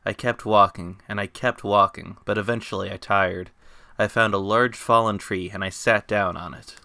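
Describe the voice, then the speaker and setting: Male story narrator for dramatic fan fiction: fairly low, bright and forceful, recorded dry and close. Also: no echo. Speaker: author reading own story.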